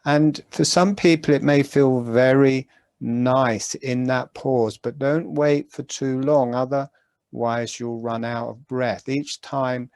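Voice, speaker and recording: A man leads a breathing meditation in a mid-pitched voice, speaking very slowly. His instructions are calm and gentle, broken by long pauses. The sound is dry and close, with no room around it. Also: no room sound. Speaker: meditation guide.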